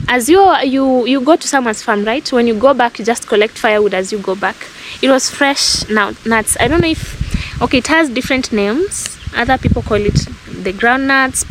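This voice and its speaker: A young woman with a Kenyan accent tells stories to her viewers in a relaxed, reflective vlog. Her voice sits a little high, and there is a faint hiss under the recording. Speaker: vlogger. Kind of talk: casual storytelling to camera.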